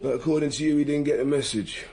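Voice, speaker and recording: A man's voice: on the high side, fast talker, dark tone, close to an everyday voice. An actor playing a sceptical male police detective in a radio drama, probing with wry, pointed questions. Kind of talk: naturalistic radio drama dialogue.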